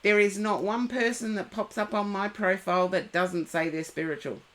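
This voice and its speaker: A woman with a head cold, giving tarot readings and gentle advice to viewers on a live stream. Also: mid-range voice, fairly even intonation. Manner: slow, unhurried, gently advising viewers by name.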